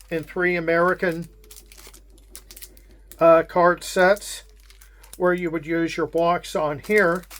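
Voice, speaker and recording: Slow, measured man with a Pittsburgh accent. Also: sits high. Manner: unhurried, measured, with frequent hesitations. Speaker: wargame channel host. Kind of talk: casual unboxing narration.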